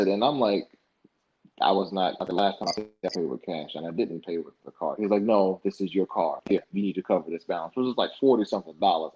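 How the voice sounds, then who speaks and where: A young man from the United States, a podcast guest, telling stories casually and acting out past conversations in colloquial speech. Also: sits low. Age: young adult. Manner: animated, acts out past dialogue in colloquial speech. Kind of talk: casual interview storytelling. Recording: dull lo-fi podcast audio.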